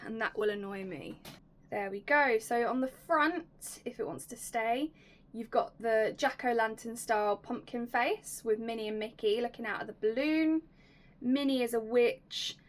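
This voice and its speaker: A young woman hosting a casual unboxing vlog, chatty and enthusiastic, her voice slightly raspy and going hoarse. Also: fairly high pitch. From United Kingdom. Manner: chatty, enthusiastic, drops to soft whispers at times. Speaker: YouTube host.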